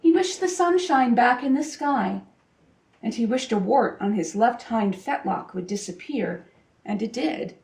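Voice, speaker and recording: A woman reads a children's picture book aloud expressively, with deliberate, dramatic phrasing and pauses for emphasis. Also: a little high, animated, a little mellow. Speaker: storybook reader. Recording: roomy echo, distant microphone.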